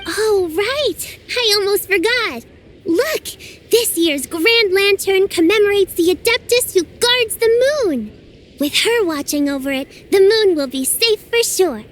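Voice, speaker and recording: An actress voicing a cheerful, earnest young girl apprentice in a game, explaining things patiently and brightly. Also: extremely high pitch, expressive, a little slow, a little hiss or hum. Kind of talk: game voice acting.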